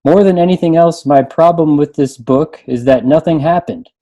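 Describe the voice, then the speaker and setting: A man's voice: on the high side, moderate pace, warm tone, lo-fi sound. A man chatting casually on a book panel and reading short written reviews aloud.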